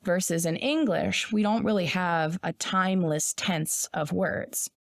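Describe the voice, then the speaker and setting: A woman's voice: fairly low pitch, measured pace, gravelly, dry recording, studio quality. A woman co-hosting a podcast, explaining ideas in a blunt, opinionated way and swearing now and then. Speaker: podcast co-host. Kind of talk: casual podcast discussion.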